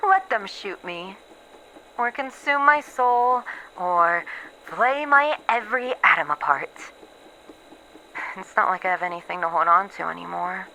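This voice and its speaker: Slow and halting, this is an actress as a despairing woman researcher recording a horror roleplay log. Her voice is bright and tense, with a bitter, self-mocking edge. She sounds resigned to her doom, over faint background noise. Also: medium pitch, lively intonation, low-quality recording.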